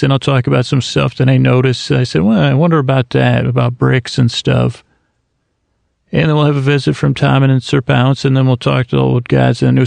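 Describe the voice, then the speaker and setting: Gravelly man rambling softly. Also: mid-range voice, no echo. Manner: meandering, self-interrupting, soft-spoken. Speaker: sleep podcast host. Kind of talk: rambling bedtime monologue.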